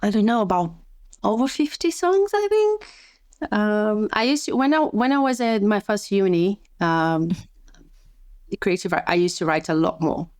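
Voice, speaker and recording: A woman's voice: mid-range voice, animated, unhurried, darkish tone, dry recording, clean studio sound. A woman from Brazil, a podcast guest and sleep coach, chatting casually, often restarting her sentences and laughing easily. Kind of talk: casual interview chat.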